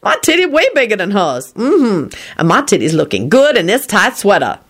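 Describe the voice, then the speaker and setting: A woman's voice: mid-range voice, huge pitch swings, normal speed, husky, voice pushed up. A woman voicing a brash, lusty, self-satisfied woman with folksy grammar and a boastful manner in a story. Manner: folksy nonstandard grammar, drawling hums, boastful.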